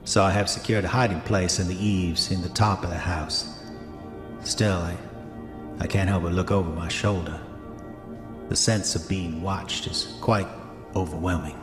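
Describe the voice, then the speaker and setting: Deep-voiced, roguish old-time gold prospector played by a man. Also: fairly even intonation, natural voice. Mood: uneasy, haunted. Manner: formal old-fashioned diction, deliberate, self-justifying. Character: roguish, haunted gold prospector and womanizer, reading his old diary. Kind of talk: dramatic first-person diary monologue in a horror audio drama. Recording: echoey hall reverb, music underneath.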